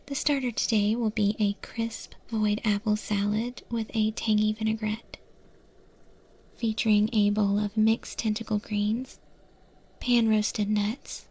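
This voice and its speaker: An eldritch monster woman , played by a female performer guides a new kitchen worker in an ASMR roleplay. Her whisper is breathy and crackles with fry. She speaks slowly and evenly, patient with a faint exasperation. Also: fairly high pitch, heavily acted. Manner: slow, hushed, gently instructive.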